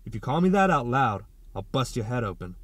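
A man's voice: mid-range voice, very animated, brisk, a bit bright, no echo. A stubborn rural man, played by a male narrator in an audiobook story. He talks in blunt, folksy country phrases. The recording is dry and close. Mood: defiant.

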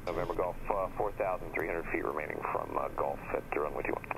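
A male air traffic controller fires off clearances in quick, clipped phrasing with a low voice. He comes through a noisy aviation radio link.

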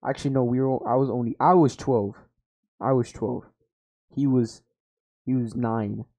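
Self-mocking young man with a mellow voice. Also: mid-range voice, steady tone, normal speed. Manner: rambling, self-mocking, swears freely. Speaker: gaming YouTuber reacting to his old videos. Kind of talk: casual reaction commentary. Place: small room at home. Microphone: over-ear headset mic.

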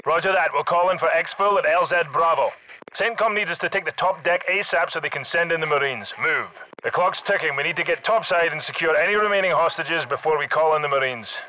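Casting: commanding Scottish special forces soldier for a shooter game, forceful clipped delivery, faint noise behind. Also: male voice, on the high side, a little fast, poor audio. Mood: urgent, commanding. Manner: clipped, urgent orders. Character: commanding male special forces soldier, terse, battle-hardened squad leader. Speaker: squad leader in a military shooter game. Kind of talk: video game battle chatter, military radio commands.

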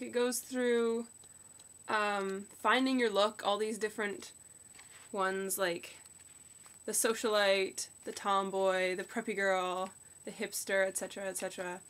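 A young woman with a slightly croaky voice from a cold, chatting upbeat about new books into a webcam in her bedroom. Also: medium pitch, fairly bright tone. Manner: chatty, upbeat, quick asides. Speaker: book vlogger. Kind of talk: casual book haul chat. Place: bedroom at home. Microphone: webcam at desk.

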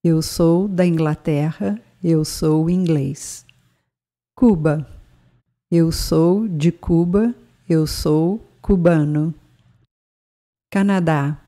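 A woman's voice: deep voice, drawn-out words, long pauses, dark tone. A woman narrating a scripted bilingual language lesson, speaking carefully and spelling out words. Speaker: language teacher.

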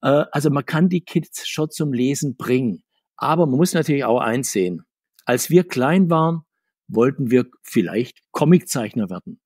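A man talking conversationally as an interview guest, talkative and often correcting himself, with a husky voice. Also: mid-range voice, lively intonation, moderate pace, darkish tone, no room sound, clean studio sound. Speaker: guest, comics author.

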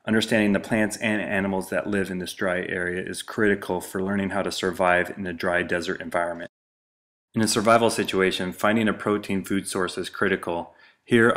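A man's voice: sits low, fairly bright tone, no echo, studio quality. A man in his forties explaining outdoor survival cooking in a plain, matter-of-fact way with frequent filler words. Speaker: bushcraft video host. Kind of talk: outdoor how-to narration. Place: outdoors in a grassy park.

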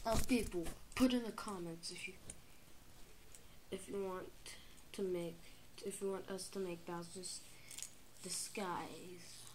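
A woman's voice: fairly low pitch, steady tone, slow, pauses often, background noise. A woman talking casually to viewers of her toy video, rambling and hesitant, recorded on a phone camera in a carpeted room. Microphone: phone camera near the floor.

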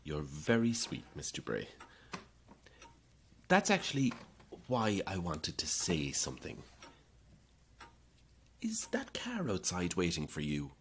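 An actor playing a polite, cautious hotel receptionist in an audio drama, speaking carefully and haltingly. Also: male voice, low-pitched, huge pitch swings, slight background noise, heavily acted. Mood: wary. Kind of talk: naturalistic audio drama acting.

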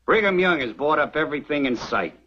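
An actor playing a gruff, sly middle-aged frontier sheriff who talks in a taunting drawl. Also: male voice, on the high side, lively intonation, a little fast, sharp tone, heavily acted. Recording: dull old TV soundtrack with faint hiss.

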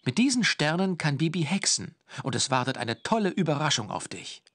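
A man's voice: fairly high pitch, very animated, brisk, fairly bright tone, dry recording, clean studio sound, heavily acted. A man reading scripted instructions as the narrator of a children's computer game, in an explanatory manner.

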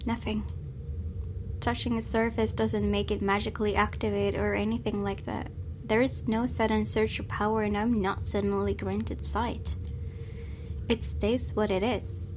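A woman's voice: medium pitch, level tone, measured pace, a little hiss or hum. A woman hosting a let's play, reading a visual novel's narration aloud with drama.

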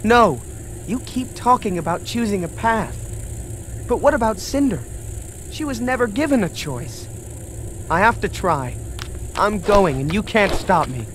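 A man's voice: sits high, big pitch swings, unhurried, long pauses, a bit bright, slight background noise, exaggerated voice. A man voicing a young male dragon hero in a video game, earnest, youthful and determined. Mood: defiant resolve. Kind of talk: video game cutscene acting.

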